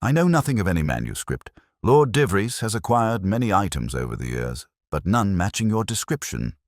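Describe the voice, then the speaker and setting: A man voicing a crisp, guarded female housekeeper. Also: lowish voice, sing-song, fairly quick, no room sound, clean studio sound, pitched above the performer's own voice. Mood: guarded, uneasy. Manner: crisp, formal, evasive.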